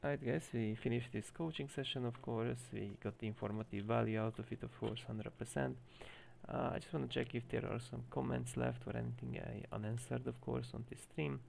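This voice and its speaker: Gravelly Hungarian man coaching. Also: medium pitch, fairly even intonation, brisk, a little mellow, slight background noise. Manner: explanatory, many fillers like "you know". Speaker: game coach. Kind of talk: live-streamed coaching session.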